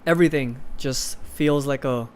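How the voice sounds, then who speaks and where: A man's voice: mid-range voice, moderate pace, darkish tone, smooth. A man in his twenties from India, hosting a livestream and casually chatting as he answers viewers' comments. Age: 20s. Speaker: livestream host of an investing channel.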